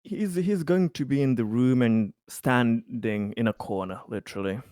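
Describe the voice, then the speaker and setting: A man chatting as a player in a tabletop role-playing game, halting and often repeating his words. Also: medium pitch, dark tone, no room sound, studio quality. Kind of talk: casual game-table chat.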